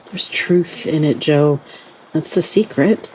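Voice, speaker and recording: A woman acting in an audio play as a gentle, encouraging relative and narrator, tender and measured. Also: low voice, husky, full character voice, poor audio.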